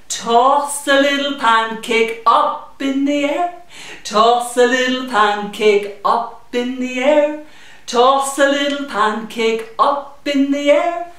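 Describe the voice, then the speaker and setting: A middle-aged woman hosting children's story time in an animated, sing-song manner, talking and singing to small children. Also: sits high. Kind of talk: children's story time with puppet chat and songs. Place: indoors, small room in a community library. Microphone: camera a few metres away. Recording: very echoey room, distant microphone.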